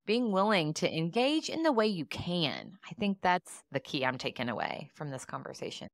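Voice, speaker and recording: A woman's voice: fairly low pitch, very animated, moderate pace, dry recording, studio quality. A woman hosting a podcast, asking curious, engaged questions of her guest. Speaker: podcast host. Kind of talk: interview questions.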